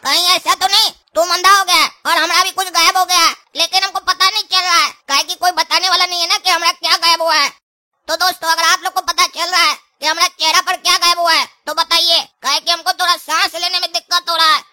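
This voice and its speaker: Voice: extremely high pitch, flat delivery, a little fast, pushed and bright, full character voice. A performer voicing a bossy, bickering young male cartoon pumpkin, an older brother who talks in colloquial scolding Hindi. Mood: anxious, pleading. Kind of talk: comic cartoon dialogue.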